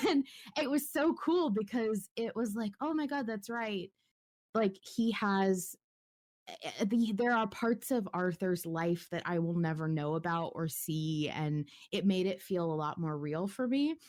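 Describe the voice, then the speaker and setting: A woman's voice: mid-range voice, unhurried, a little mellow. A woman co-hosting a podcast, chatting casually and expressively with enthusiasm, swearing now and then. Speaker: podcast co-host discussing a horror audio drama. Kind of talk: casual fan discussion.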